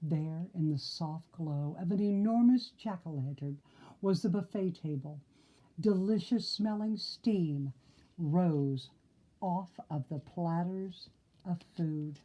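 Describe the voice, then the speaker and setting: A woman reading a children's story aloud in an expressive narrating style. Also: on the low side, slow pace, poor audio. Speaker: storybook reader.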